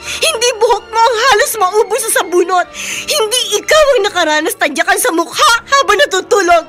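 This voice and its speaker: A woman's voice: very high voice, lively intonation, brisk, a bit bright, soft background music. An actress playing a bitter, hardened, abused woman in a melodramatic radio drama, anguished, tearful and defiant.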